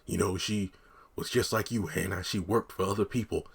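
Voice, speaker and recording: A man imitating a soft-spoken TV drama character remembering his mother. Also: deep voice, steady tone, normal speed, vocal fry, husky, heavily acted. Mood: sincere.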